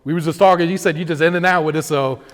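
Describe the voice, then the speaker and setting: Fast-talking easygoing male interviewer. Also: on the high side, steady tone, long reverb tail. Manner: easygoing, quick questions. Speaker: interview host. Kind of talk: casual interview. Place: Atlanta interview studio.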